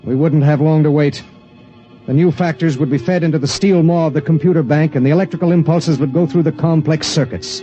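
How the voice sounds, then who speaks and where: An actor playing a weary spaceship pilot in an old radio drama, narrating in a grave, measured way and speaking tersely. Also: male voice, fairly high pitch, warm tone, close to an everyday voice. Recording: dull vintage radio broadcast with music.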